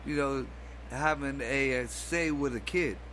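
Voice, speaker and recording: A man's voice: medium pitch, a little slow, a bit bright, a little hiss or hum. A man in casual drinking banter as a podcast co-host, brash and crude, needling the others.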